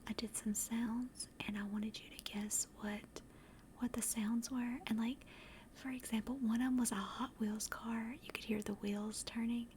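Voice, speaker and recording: A woman chatting casually to livestream viewers in a soft, breathy ASMR whisper, gentle and rambling, close to the microphone. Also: flat delivery, normal speed, slight background noise. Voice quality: soft, breathy whisper and hushed low-volume speech. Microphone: close directional microphone.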